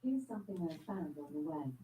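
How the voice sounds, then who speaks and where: A woman's voice: low voice, lively intonation, quick pace, warm tone, husky, voice pushed up, full character voice, low-quality recording. A synthetic female voice assistant answering questions, heard through a speaker from across the room. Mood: informative.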